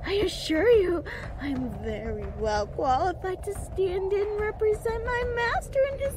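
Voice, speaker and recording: A playful yet menacing Fae hound executioner speaks very high and slowly, swinging widely in pitch. She mocks and growls with theatrical relish in this fantasy audio drama. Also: animated, over a noisy crowd. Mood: mock hurt, boastful. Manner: mocking, theatrical, growling threats.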